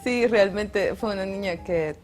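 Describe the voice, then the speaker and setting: A woman's voice: medium pitch, unhurried, faint music behind. A young adult woman with a Salvadoran accent, chatting as a guest in an interview, laughing often and restarting words. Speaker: guest, mountaineer. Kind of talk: casual interview chat.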